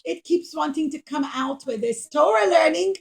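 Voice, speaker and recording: A woman's voice: high-pitched, animated, normal speed. A woman voicing an expectant mother puppet in a children's show, exclamatory and openly emotional. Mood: pleading. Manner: exclamatory, pleading, sighing.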